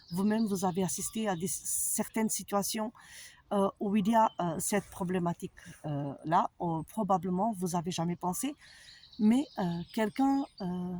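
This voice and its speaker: Woman, foreign accent, breathy, slow, halting with fillers, personal talk to followers. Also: medium pitch, a little mellow. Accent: foreign accent in French. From abroad, not France. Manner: slow, hesitant, many fillers. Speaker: holistic therapist.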